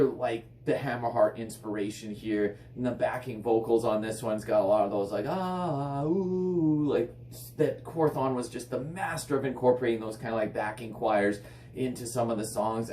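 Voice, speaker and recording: A man with a hoarse, raspy voice from illness, enthusiastically reviewing a metal album in casual, rambling speech full of "like". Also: medium pitch, warm tone. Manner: enthusiastic, filler-heavy rambling with frequent "like". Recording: echoey room, slightly distant mic, faint hum.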